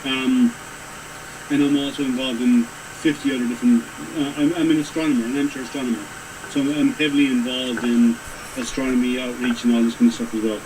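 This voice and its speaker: A man's voice: mid-range voice, steady tone. A man from Ireland, a guest on a casual livestream, joking and restarting his sentences. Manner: quick, joking, many restarts. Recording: noisy background.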